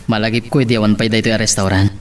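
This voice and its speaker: An actor playing an earnest, gentle young man in love in an Ilocano radio romance drama. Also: on the low side, level tone, fast talker, slight background noise, lightly acted. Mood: tender, coaxing. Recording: music behind the voice.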